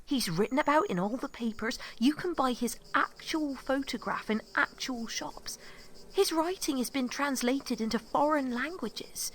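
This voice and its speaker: A woman's voice: high voice, expressive, a little slow, a little hiss or hum, low-quality recording. An actress playing a starstruck, naive and outspoken young woman in an audio drama, earnest and candid. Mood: wondering, musing. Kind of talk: naturalistic audio drama acting.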